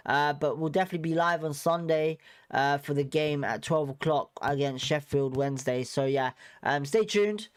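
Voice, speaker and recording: A slightly high-voiced man talks casually on a live stream. He sounds bunged up from a cold and rambles, repeating words and filling pauses with "um" and "you know". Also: clear, resonant tone. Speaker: fan channel host.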